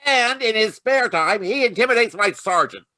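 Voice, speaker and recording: A man's voice: high-pitched, expressive, moderate pace, bright, forceful tone, dry recording. A man playing a short-tempered police lieutenant in an old-time radio detective drama, grumbling and blustering. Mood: exasperated. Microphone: video call.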